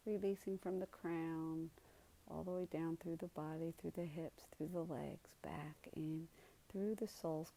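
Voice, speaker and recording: A woman leading a guided meditation in a soothing, unhurried way, with soft, gentle delivery and pauses. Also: lowish voice, low-quality recording.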